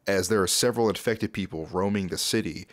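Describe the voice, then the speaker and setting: A man's voice: low voice, a little fast, dry recording. A man in his twenties narrating a scripted video recap in a casual, conversational storytelling way, close to a desk microphone. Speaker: YouTube host. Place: small dim home room, indoors.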